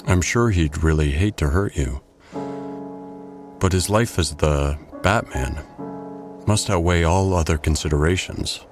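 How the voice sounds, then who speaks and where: A man playing a guarded, earnest gentleman with a secret, speaking haltingly in an audio drama. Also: deep voice. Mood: guarded, regretful. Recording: music under the dialogue.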